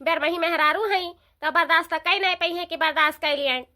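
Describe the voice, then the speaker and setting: Village daughter-in-law for cartoon female performer, eastern Uttar Pradesh accent, high-pitched, talkative and emphatic. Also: brisk, pushed and bright. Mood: explaining, complaining. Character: dutiful chatty village elder daughter-in-law. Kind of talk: Hindi-belt cartoon dialogue.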